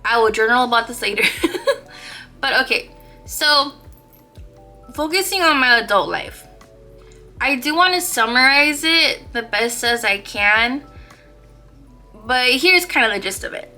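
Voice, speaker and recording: Slow-talking bright-voiced woman podcaster. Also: fairly high pitch, lively intonation, faint music behind. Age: 20s. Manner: chatty and playful, slangy with laughs and asides. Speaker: podcast host. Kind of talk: casual solo podcast chat.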